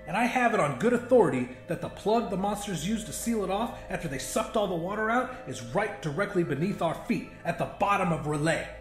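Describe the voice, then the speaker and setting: A man's voice: sits high, expressive, brisk, hardly any pauses, roomy sound, faint music behind, pitched above the performer's own voice. A man voicing a stubborn, scheming young man who speaks earnestly and urgently in a narrated story.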